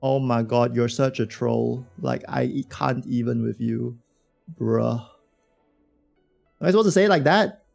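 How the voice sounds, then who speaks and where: Dude streamer losing his voice, raspy n croaky, yapping slangy to chat, mid pitch. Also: measured pace, pauses often, a little mellow, soft background music. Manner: slangy, rambling, talks to chat. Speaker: game streamer. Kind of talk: casual livestream chat reacting to a game.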